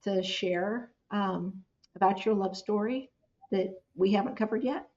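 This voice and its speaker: A woman's voice: medium pitch, a little slow, darkish tone, highs cut off. A woman hosting a podcast, affirming her guest with frequent encouraging murmurs and closing the episode. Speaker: podcast host. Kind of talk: podcast interview and outro.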